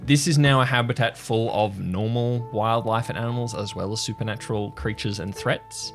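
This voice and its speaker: A man with a fairly low voice works as game master, narrating an improvised tabletop adventure and bantering with the players. Music plays clearly behind him. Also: moderate pace. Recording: music under the voice.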